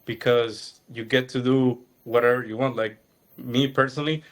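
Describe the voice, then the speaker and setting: A young man from Guatemala, an animation student, talks in a relaxed, earnest way about his student project during a video-call webinar. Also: mid-range voice, normal speed. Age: young adult. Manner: relaxed and earnest, with some fillers. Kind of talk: casual account given in a webinar.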